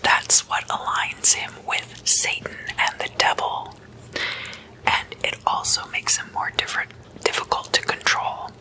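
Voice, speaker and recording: A person whispering in a breathy, unvoiced voice, chatting and explaining as an ASMR host in a deliberate manner. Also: bright, forceful tone, rough recording. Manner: slow, deliberate, with drawn-out pauses.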